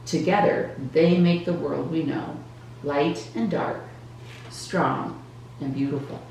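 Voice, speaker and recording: Gentle wise star, slow and echoey woman acting. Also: deep voice, gravelly, heavily acted. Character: gentle, wise star telling an old legend. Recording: roomy echo, distant mic, faint noise.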